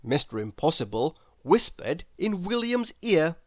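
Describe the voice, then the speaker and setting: A woman's voice: sits low, sing-song, a little slow, no echo. A woman reading a children's story aloud as narrator. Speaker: storybook reader.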